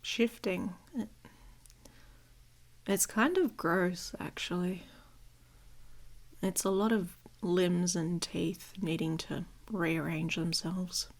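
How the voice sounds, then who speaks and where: Husky, creaky, grumpy she-wolf played by a woman. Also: lowish voice, steady tone. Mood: sheepish, matter-of-fact. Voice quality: husky, creaky, often dropping to a whisper. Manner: sarcastic, blunt, halting. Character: gruff, grumpy, protective she-wolf shifter woman, secretly affectionate. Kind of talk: intimate ASMR romance roleplay.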